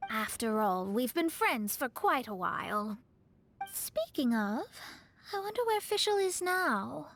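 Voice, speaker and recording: A woman's voice: high voice, sing-song, takes it slowly, fairly bright tone, a little hiss or hum, full character voice. A woman voicing a proud young astrologist in a video game, haughty, dramatic and easily exasperated. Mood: matter-of-fact.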